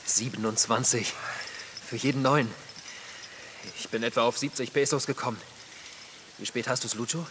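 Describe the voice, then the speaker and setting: An actor playing a bold, stubborn young petty thief in a radio drama, terse and conspiratorial. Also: male voice, medium pitch, steady tone, normal speed, background noise, natural voice.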